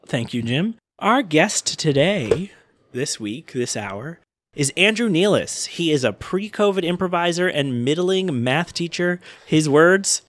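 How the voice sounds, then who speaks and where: A man's voice: on the high side, expressive, measured pace, fairly bright tone. A man hosting a podcast, moving between a scripted intro and casual chat. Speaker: podcast host.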